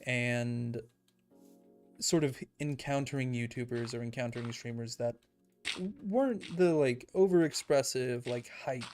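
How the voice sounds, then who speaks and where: Casting: young male streamer, calm and soothing, mid-pitched, soft-spoken, faint music underneath. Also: measured pace. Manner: calm, soft-spoken, self-described monotone. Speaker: Minecraft streamer. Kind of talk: casual livestream chat while building in a game.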